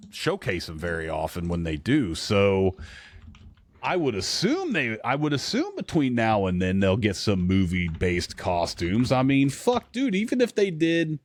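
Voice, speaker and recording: A man's voice: lowish voice, no room sound. A man chatting casually as a podcast co-host, rambling and bluntly swearing as he reacts to what he watches. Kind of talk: casual chat reacting to trailers.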